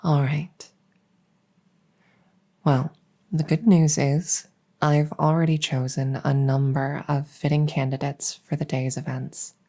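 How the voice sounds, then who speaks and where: A devoted vampire maid, acted by a woman, speaks in a low, mellow murmur with a breathy softness. Her phrasing is formal and deferential, soothing and gently teasing, in the intimate style of an ASMR roleplay. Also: level tone, slow, pauses often, heavily acted. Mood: attentive, deferential. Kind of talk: ASMR roleplay, intimate personal-attention audio.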